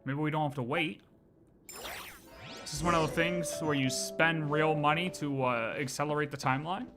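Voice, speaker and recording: Male game streamer, casual and wry, reacting live in halting asides, prominent soundtrack underneath. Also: fairly high pitch. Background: game music.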